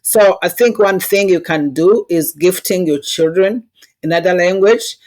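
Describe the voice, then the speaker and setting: A woman's voice: lowish voice, unhurried, a little mellow. A woman with a Rwandan accent giving conversational interview answers and anecdotes in an earnest, instructive way, with non-native phrasing. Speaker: interview guest, diversity consultant.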